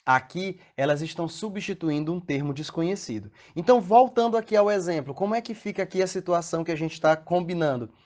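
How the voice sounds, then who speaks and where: A man's voice: a little high, animated, brisk, hardly any pauses, darkish tone. A man with a Brazilian Portuguese accent teaching a recorded math lesson, patiently explaining step by step and often checking in with the class through questions.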